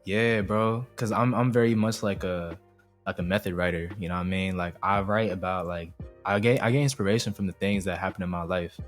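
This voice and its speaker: A man's voice: deep voice, fairly even intonation, fairly quick, faint music behind. A young man, a singer and producer born in Indonesia and raised in the US, chatting casually as an interview guest in a laid-back, slangy way. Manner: laid-back slangy talk, constant "you feel me". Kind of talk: casual chat.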